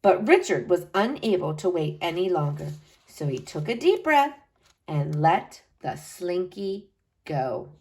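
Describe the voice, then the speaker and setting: A woman reading a picture book aloud to children, with slow dramatic emphasis. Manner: expressive, slow dramatic emphasis with wide pitch swings.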